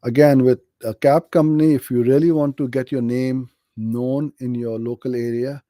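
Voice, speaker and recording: Middle-aged guy from pakistan, super mellow dark voice, dry close sound, chatty live q&a host. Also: mid-range voice, steady tone, moderate pace, no echo. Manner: conversational, practical, friendly and direct. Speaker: host answering viewer questions. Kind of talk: live Q&A on online advertising.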